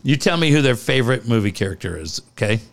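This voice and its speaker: A middle-aged man co-hosting a casual podcast, telling profane, animated stories. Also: mid-range voice, fast. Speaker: podcast co-host.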